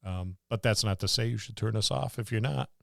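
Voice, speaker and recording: A man's voice: lowish voice, brisk, vocal fry, a bit bright, dry recording, clean studio sound. A man co-hosting a casual podcast about tabletop gaming, talking in a rambling, enthusiastic way. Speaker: podcast co-host.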